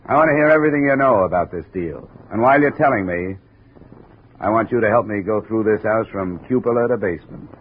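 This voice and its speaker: An actor playing a tough, wry insurance investigator in a 1950s radio detective show, with dry, clipped speech. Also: male voice, on the low side, fast talker, background noise, natural voice. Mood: probing, tough. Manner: dry, clipped, hard-boiled. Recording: muffled old radio recording, music behind.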